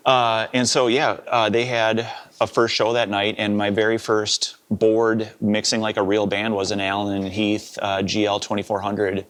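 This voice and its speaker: Wisconsin man in his fifties, sound engineer guest, low voice, easygoing and chatty. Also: moderate pace, few pauses. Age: 50s. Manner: relaxed, rambling, with many "uh" and "you know". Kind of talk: casual interview storytelling.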